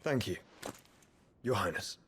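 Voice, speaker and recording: A man's voice: fairly low pitch, lively intonation, slow, a bit bright, a little hiss or hum. A British actor playing an earnest, resolute young nobleman in a fantasy game, with a sober and formal delivery. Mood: humble sincerity. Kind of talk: dramatic fantasy game acting.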